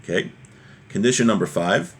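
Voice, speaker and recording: A man's voice: fairly low pitch, normal speed, breathy edge. A man giving an informal religious lesson, reading and explaining as he goes and putting rhetorical questions to the listener. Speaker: religious teacher.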